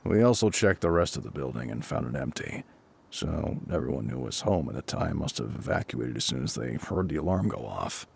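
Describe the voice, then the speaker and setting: A gruff fire captain, acted by a man with an extremely low, husky voice. His delivery rises and falls freely while staying calm and reassuring, as if speaking directly to one listener in an audio roleplay. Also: heavily acted. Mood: matter-of-fact, reassuring. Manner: measured, matter-of-fact, reassuring. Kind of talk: intimate scripted audio roleplay spoken to the listener.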